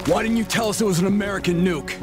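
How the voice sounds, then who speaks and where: A man's voice: sits high, rapid-fire, music in the background, lightly acted. An actor playing a confrontational male soldier in a game, firing accusing questions.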